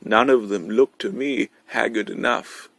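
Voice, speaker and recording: A man narrates a short story at a very slow pace. His voice has a creaky, fried edge and sits in a dry space with no room sound. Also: on the low side, a bit bright, no echo. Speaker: amateur story reader. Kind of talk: scripted narration, reading a short story aloud.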